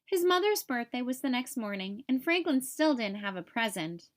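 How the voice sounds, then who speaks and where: A woman reading a picture book aloud to young children, with expressive, simple storytelling. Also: a little high, normal speed.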